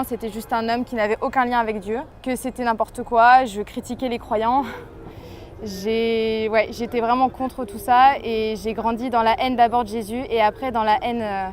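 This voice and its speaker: A woman's voice: on the high side, slight background noise. A woman in her twenties from France, giving an earnest, hesitant personal faith testimony to the camera. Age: 20s. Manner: earnest, hesitant, reflective storytelling.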